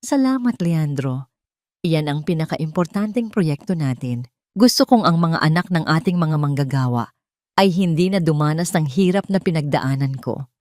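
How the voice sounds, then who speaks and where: Gracious, resolute wealthy heroine played by a female narrator, low register, dry sound, audio-story dialogue. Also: lively intonation, normal speed, darkish tone, no echo. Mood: warm, resolute.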